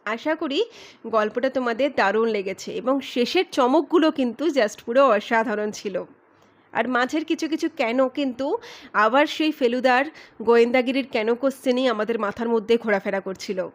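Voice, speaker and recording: A woman with a high-pitched voice works as a storyteller. She narrates and presents audio stories for her listeners. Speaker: storyteller, channel host.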